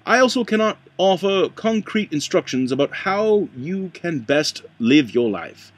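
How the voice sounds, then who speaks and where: A man reading a nonfiction book aloud in a put-on accent, halting at times and correcting himself as he stumbles over words. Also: high-pitched, very animated. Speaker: amateur book reader.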